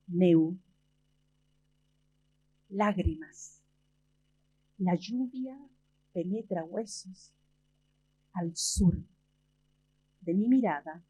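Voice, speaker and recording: A woman's voice, very dark and soft-edged, a little husky, reads her poems slowly and deliberately with long pauses between phrases. The recording is muffled and lo-fi, like a small library event taped from the room. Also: medium pitch. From Chile. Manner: slow, measured recital with long pauses. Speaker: poet. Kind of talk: slow poetry reading and talk.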